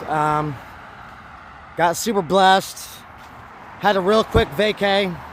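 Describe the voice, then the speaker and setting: A man with a hoarse voice, talking to camera in a rambling, repetitive, preachy vlog monologue. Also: sits high, a little hiss or hum. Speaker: vlogger.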